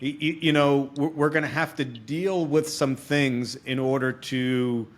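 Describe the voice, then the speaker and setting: A man's voice: medium pitch, steady tone, unhurried. A man hosting a podcast interview, explaining things conversationally and often checking in with his listener. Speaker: podcast host and experienced salesman.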